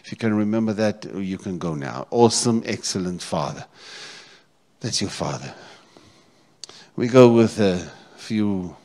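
A man's voice: lowish voice, measured pace, darkish tone. A middle-aged South African man preaching a sermon as a pastor, repeating key phrases and checking in with his congregation. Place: church stage, indoors. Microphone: handheld microphone.

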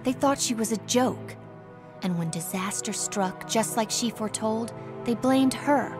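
Young female actress, high voice, wry, determined, comic-book heroine, background music. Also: measured pace, natural voice. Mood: wry, resolute. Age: young adult. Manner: wry, self-assured. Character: determined, defiant young woman, aspiring crime fighter. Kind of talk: animated motion comic narration and dialogue.

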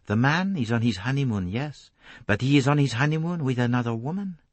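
A man voicing a calm, shrewd, courteous foreign detective, speaking formally and deliberately in an audiobook. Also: mid-range voice, dry recording, pitched above the performer's own voice, lightly acted. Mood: detached, recounting. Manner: placid, detached, formal, deliberate phrasing.

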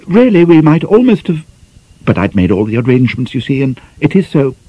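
A man's voice: medium pitch, sing-song, brisk, slightly rough, no echo, lo-fi sound, pitched above the performer's own voice. A man voicing a fussy, flustered genteel lady in an audiobook, dithering and politely hesitant. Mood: gushing, polite. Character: fussy, flustered genteel spinster lady scolding her maid.

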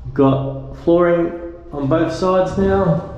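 A man's voice: a little high, slow pace, dull audio, noisy background. Easygoing man with lots of ums. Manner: relaxed and chatty, lots of ums and uhs. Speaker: boat restorer and channel host. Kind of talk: casual vlog narration. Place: inside a steel boat hull, then an outdoor patio.

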